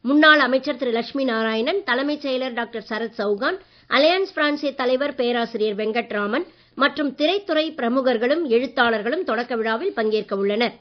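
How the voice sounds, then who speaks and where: A woman newsreader reading a regional radio bulletin in a formal, measured way. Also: fairly high pitch. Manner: measured, even delivery. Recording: dull lo-fi radio broadcast.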